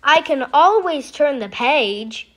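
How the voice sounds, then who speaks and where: A woman's voice: high voice, expressive, slow pace, a bit bright, heavily acted. A woman voicing a fussy, tidy frog who is dramatic and easily horrified, in a theatrical children's storybook read-aloud. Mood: content. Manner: theatrical, exclaiming.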